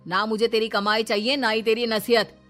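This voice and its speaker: A woman's voice: on the high side, level tone, moderate pace, fairly bright tone, natural voice. A woman voicing a hot-headed, domineering young husband in a moral story, barking out orders. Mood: angry, domineering. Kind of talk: Hindi moral story dramatization. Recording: music behind the voice.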